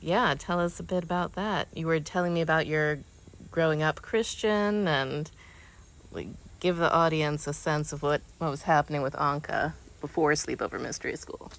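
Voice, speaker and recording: Low-voiced woman hosting a casual interview. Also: normal speed, poor audio. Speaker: host, interviewer. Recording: noticeable ambient background noise.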